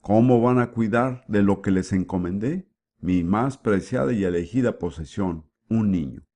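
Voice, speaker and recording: A man giving the voice-over for a sermon, with a measured preaching delivery and frequent rhetorical questions. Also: on the low side, dark tone. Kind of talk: dubbed sermon.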